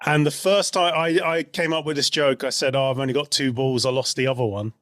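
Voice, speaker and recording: A man's voice: medium pitch, steady tone, a little fast, a bit bright, no echo, studio quality. A man co-hosting a podcast in a casual, chatty, agreeable way, readily amused. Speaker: podcast co-host. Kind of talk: casual chat.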